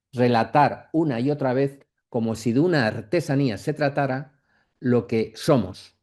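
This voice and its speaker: Man, mid-pitched, soft mellow tone, academic lecturer, reflective, halting delivery, frequent question tags. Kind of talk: masterclass lecture, speaking off the cuff.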